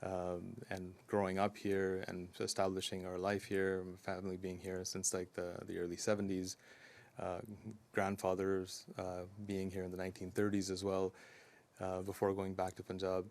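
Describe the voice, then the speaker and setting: A man speaking as a community advocate at a news conference, earnest and steady, with frequent ums and uhs. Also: low-pitched, moderate pace. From Canada. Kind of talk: press conference statement.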